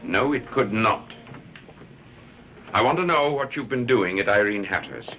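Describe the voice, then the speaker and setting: An actor playing a stern, demanding head of household, formal and commanding, in a gothic TV soap. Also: male voice, fairly low pitch, expressive, a little slow, bright, forceful tone, slight background noise, heavily acted. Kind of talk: gothic TV soap opera acting. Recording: old TV soundtrack with music behind.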